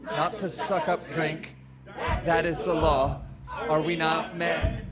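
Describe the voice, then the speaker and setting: A man's voice: on the high side, flat delivery, slow, a loud crowd. A man playing a bewildered, wary shipwrecked castaway, hesitant and stammering, in a live radio drama. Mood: chanting.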